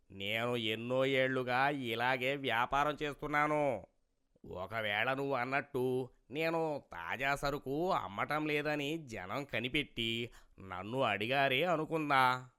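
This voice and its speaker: A bright, forceful and crisp voice for a greedy street vegetable seller played by a man. He is a sly smooth talker from a cartoon moral tale, wheedling in a folksy vendor's way. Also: mid-range voice. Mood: stubborn, defensive. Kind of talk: cartoon moral story character acting.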